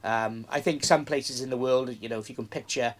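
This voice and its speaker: Man, 40s, relaxed rambling interviewee, fillers and false starts. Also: medium pitch, a little fast. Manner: rambling, many fillers and restarts. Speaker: interviewed yacht owner. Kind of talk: casual interview. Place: outdoors on a moored yacht.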